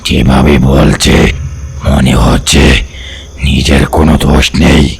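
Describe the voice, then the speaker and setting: Goat ghost for kids' cartoon: bottomless gravel voice, slow, insistent bickerer. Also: male voice, extremely low pitch, little pitch movement, slight background noise, heavily acted. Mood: accusing, worried. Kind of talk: children's cartoon voice acting. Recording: music under the voice.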